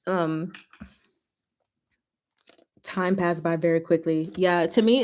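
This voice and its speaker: A woman hosting a livestream, chatting casually and playfully with her viewers in an animated, slangy way. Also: mid-range voice. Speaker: livestream host and book reader.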